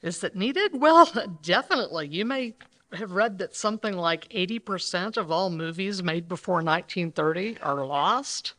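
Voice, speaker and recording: A woman's voice: on the low side, expressive, moderate pace, bright, forceful tone. A woman co-presenting a live show, giving a semi-scripted introduction in a conversational, explanatory manner. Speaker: co-presenter of a radio re-creation troupe. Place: live stage at a banquet.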